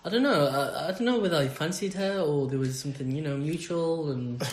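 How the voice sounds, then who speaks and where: A man in a casual podcast chat, telling a story hesitantly with many pauses. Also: on the high side, a little hiss or hum. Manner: hesitant, trailing off with fillers. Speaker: podcast co-host. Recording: echoey room.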